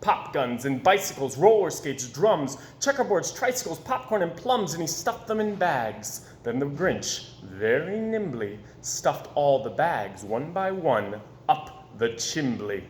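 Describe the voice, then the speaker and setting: A man reading a children's storybook aloud in a theatrical, dramatic way with drawn-out repetition and emphatic pauses. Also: a little high, very animated, roomy sound, a little hiss or hum.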